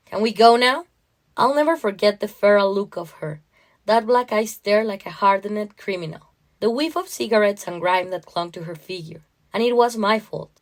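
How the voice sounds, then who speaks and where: A woman reading a first-person literary short story aloud, with expressive, emotionally intense delivery. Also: medium pitch.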